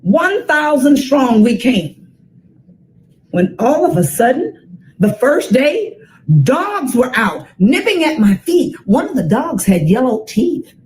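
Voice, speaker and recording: An American woman in her sixties reads a children's story aloud with dramatic, deliberate phrasing and emphatic repetition. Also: high voice, big pitch swings, darkish tone, a little hiss or hum, poor audio. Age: 60s.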